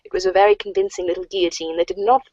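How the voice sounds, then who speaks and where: A woman's voice: medium pitch, big pitch swings, very fast, vocal fry, warm tone, dry recording. A woman in an amateur reader's theater cast, recorded in muffled lo-fi audio. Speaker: voice cast member.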